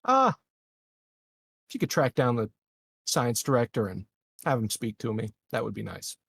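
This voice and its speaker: A man playing a calculating, formal colony leader in a tabletop game, speaking deliberately and haltingly. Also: medium pitch, very animated, creaky, fairly bright tone, husky, heavily acted. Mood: measured, guarded.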